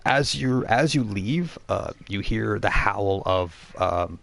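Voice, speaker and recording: Deep-voiced man running a tabletop game. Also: lively intonation, slow, vocal fry, a bit bright, slight background noise. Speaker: game master. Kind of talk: improvised tabletop role-playing narration.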